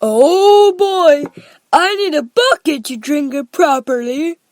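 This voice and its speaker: A woman's voice: high voice, animated, slow pace, a little mellow, dry recording, pitched above the performer's own voice. A woman voicing a greedy, heedless young boy in a children's storybook. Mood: gleeful.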